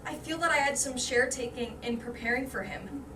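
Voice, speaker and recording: A woman's voice: high-pitched, moderate pace, natural voice. A woman playing a polite, admiring young female friend in a staged reading, earnest and gushing. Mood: eager. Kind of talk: staged reader's theater. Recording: distant roomy stage recording with background noise.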